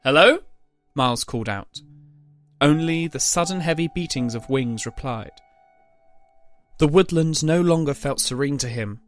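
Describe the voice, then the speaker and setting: A man's voice: on the high side, normal speed, soft background music. A man reading scripted, dramatic story narration as the narrator.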